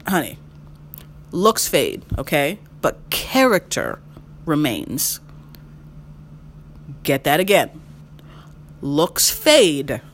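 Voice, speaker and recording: A woman in her mid-thirties hosting a solo podcast, giving emphatic advice, repeating her key phrases and swinging her pitch when she makes a point. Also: a bit bright, a little hiss or hum. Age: 30s. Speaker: podcast host.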